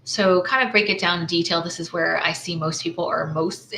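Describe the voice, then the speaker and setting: A woman's voice: lowish voice, steady tone, brisk, creaky, pushed and bright, slight background noise, low-quality recording. A woman running her own small business, walking through her slides in a casual screen-share, thinking aloud with lots of "right?" tags and restarts.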